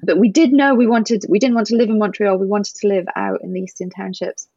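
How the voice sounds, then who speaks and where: A woman's voice: medium pitch, steady tone, fairly quick, warm tone, breathy edge, no echo, highs cut off. A Scottish woman chatting as an interview guest, fluent and talkative, with small self-corrections. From Scotland. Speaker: guest, writing group member. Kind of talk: casual interview chat.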